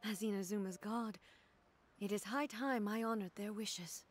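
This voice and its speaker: A woman's voice: mid-range voice, soft background music, close to an everyday voice. A woman playing a solemn regal ruler-god. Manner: measured, solemn, commanding.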